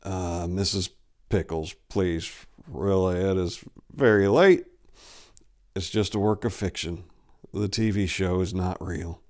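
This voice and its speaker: A man playing a drunk, irritated young priest in a story reading, placating with halting, weary politeness. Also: low-pitched, fairly even intonation, darkish tone.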